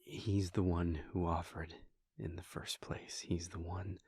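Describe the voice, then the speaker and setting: Male performer as a vampire prince, earnest and intimate; gravelly, level delivery, dry close sound. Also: deep voice, flat delivery, dry recording, exaggerated voice. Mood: reflective. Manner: hushed, halting and intimate, with soft sighs and pauses. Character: vampire prince, adult man, earnest and devoted. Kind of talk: audio roleplay aimed at the listener.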